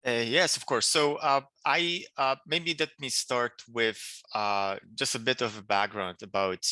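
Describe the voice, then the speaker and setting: A man from Poland with a Polish accent, answering interview questions in a halting way with many restarts. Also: mid-range voice, bright, forceful tone, no room sound. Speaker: political candidate.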